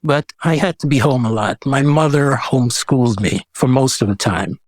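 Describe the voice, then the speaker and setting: A man's voice: mid-range voice, normal speed, no room sound. A man, speaking reflectively and casually as an artist being interviewed. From Guyana. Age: 70s. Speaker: guest, visual artist.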